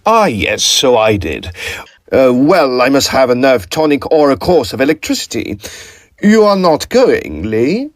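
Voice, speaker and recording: A lively, melodic male voice, recorded dry and close, as a nervy Victorian student in an audio drama. He is suave and effusively polite, with an inflated, theatrical way of talking that hints at sinister ambition. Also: mid-range voice, a little slow, no room sound. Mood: nervous, apologetic.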